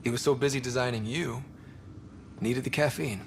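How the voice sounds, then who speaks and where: An actor playing an easygoing young explorer son in a video game, talking in a casual, wry way. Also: male voice, medium pitch, steady tone, moderate pace, gravelly, slight background noise, natural voice. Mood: curious, nostalgic. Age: young adult.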